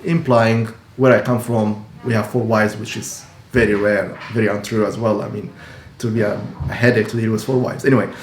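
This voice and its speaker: A man's voice: fairly low pitch, steady tone, brisk. Earnest man. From Egypt. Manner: earnest, explanatory, frequent restarts. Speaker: dentist and practice owner. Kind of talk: casual talk to camera. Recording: echoey room with faint hum.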